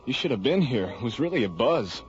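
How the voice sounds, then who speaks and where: A man's voice: medium pitch, sing-song, a little fast, natural voice. Man acting an affable station controller, muffled. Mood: chatty, relaxed. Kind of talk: science fiction radio drama acting. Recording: dull old radio recording.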